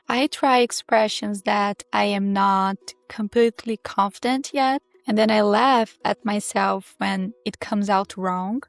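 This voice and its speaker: Brazilian woman with a gravelly edge. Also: a little high, no echo, studio quality. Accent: Brazilian Portuguese. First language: Portuguese. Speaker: English learning channel host. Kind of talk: reflective teaching monologue to camera. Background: soft background music.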